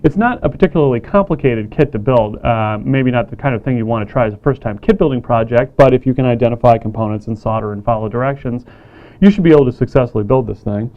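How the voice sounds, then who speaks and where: A man casually demonstrating a radio kit, plain-spoken and relaxed, with frequent fillers. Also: mid-range voice, fast, lo-fi sound. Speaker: company staff demonstrating a kit.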